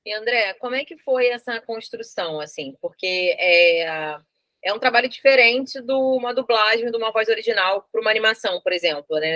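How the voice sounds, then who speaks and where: A woman's voice: medium pitch, bright, forceful tone, no echo. A Brazilian woman asking conversational, elaborated interview questions as the interviewer, adding frequent tag words.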